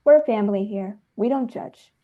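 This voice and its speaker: A woman's voice: medium pitch, moderate pace, phone-quality sound. A woman, speaking effusively and emphatically as a filmmaker in a casual interview. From New Jersey, United States. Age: 30s. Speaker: filmmaker and dancer being interviewed.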